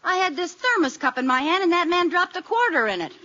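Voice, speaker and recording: Feisty, high-pitched radio sitcom wife. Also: female voice. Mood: indignant. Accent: American. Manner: exclamatory, theatrical, quick to argue or flatter. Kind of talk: 1950s American radio comedy acting. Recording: dull, noisy old radio with studio audience.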